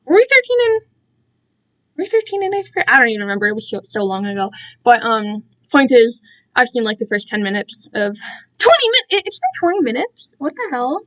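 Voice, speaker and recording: A woman's voice: fairly high pitch, sing-song, fairly quick, rough recording. A young woman livestreamer chatting casually, digressive and slangy, with self-deprecating asides. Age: young adult. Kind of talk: casual rambling chat to livestream viewers. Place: alone in own room.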